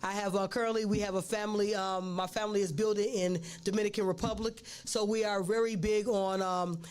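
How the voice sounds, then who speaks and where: A woman's voice: mid-range voice, fairly even intonation, brisk, darkish tone, smooth. Woman host, casual chat, rambling, restarts words, frequent ums. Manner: rambling, repeats words, many fillers. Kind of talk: casual interview talk.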